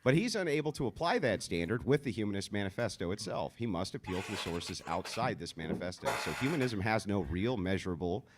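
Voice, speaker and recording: A man's voice: on the low side, lively intonation, a little fast, hardly any pauses, slight background noise. A man delivering a sardonic, emphatic debate argument. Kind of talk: debate speech played back.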